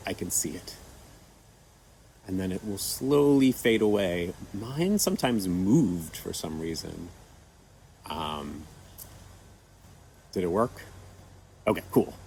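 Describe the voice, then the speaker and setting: A man gently walking children through an activity with patient, step-by-step instructions and a few fillers. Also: deep voice, slight background noise, poor audio. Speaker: children's storytime presenter. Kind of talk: guiding kids through an activity.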